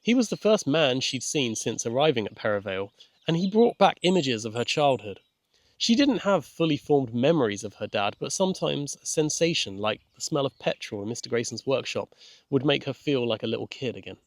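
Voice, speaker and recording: A man's voice: mid-range voice, big pitch swings, a little fast, no echo. Man narrating a children's story, dry sound. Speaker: author and narrator. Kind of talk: scripted children's audiobook narration.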